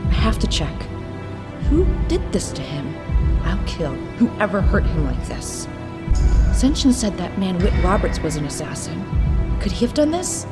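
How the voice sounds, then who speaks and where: A woman's voice: lowish voice, sing-song, measured pace. A woman acting as an emotional, easily distraught young female scientist in an audio drama. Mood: grieving anger. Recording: dramatic music behind the voice.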